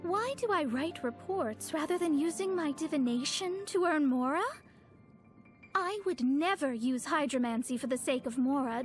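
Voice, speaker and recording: A woman voicing a proud young female astrologer in a video game, speaking formally and pompously with theatrical delivery. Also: sits high. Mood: flustered. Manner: formal, pompous, precise wording. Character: proud young woman astrologer, haughty, scholarly, easily flustered.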